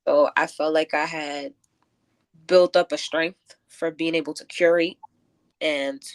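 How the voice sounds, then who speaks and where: A woman's voice: sits low, fairly even intonation, a little slow, clean tone. A woman talking earnestly and reflectively as a guest in a casual conversational interview. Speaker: interview guest, event curator and poet.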